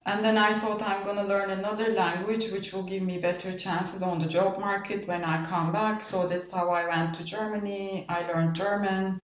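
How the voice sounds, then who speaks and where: A woman's voice: medium pitch, little pitch movement, normal speed, few pauses. A middle-aged woman from Turkey with a Turkish accent, telling her own story conversationally as an interview guest. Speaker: podcast guest. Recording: roomy, distant, muffled mic.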